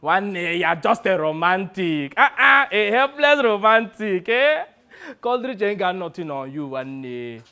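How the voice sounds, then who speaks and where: A man playing a brash, wheedling, money-minded talent agent with a Nigerian accent in a dramatic monologue, animated and full of exclamations. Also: sits high, a little slow, smooth, full character voice. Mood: teasing. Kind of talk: one-person dramatic monologue.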